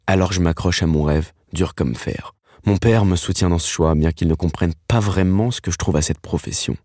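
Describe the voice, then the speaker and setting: A man. He narrates a scripted first-person story. His delivery is wry and slangy, broken by dramatic pauses. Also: sits low, warm tone, dry recording, clean studio sound. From Paris, France. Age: 30s. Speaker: narrator telling his own life story.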